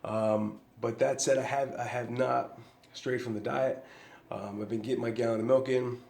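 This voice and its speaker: A man's voice: medium pitch, steady tone, normal speed, gravelly, darkish tone, echoey room. A man vlogging a casual fitness update, a bit nasal from a cold, loose and rambling with many ums and restarts.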